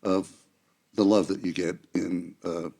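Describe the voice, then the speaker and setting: An older man chatting casually and telling stories in a jokey, animated way, as a church elder. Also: deep voice, a little slow, gravelly. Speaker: church elder, seniors' group member. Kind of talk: casual chat, storytelling.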